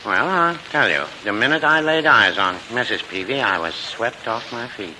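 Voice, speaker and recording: A man acting a mild, earnest, literal-minded older husband in a 1940s radio comedy, deliberate and folksy. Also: medium pitch, animated, lightly acted. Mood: earnest reminiscing. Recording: hissy old radio recording.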